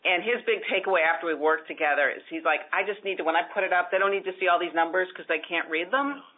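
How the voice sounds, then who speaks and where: A woman's voice: fairly low pitch, fast. Woman coaching over a tinny phone line. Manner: lively, emphatic, gives examples. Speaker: presentation coach. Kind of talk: call-in advice show.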